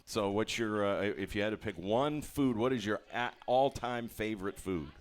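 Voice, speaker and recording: A man hosting a coaches' show, chatting and firing quick follow-up questions at a player. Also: fairly low pitch. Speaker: radio show host.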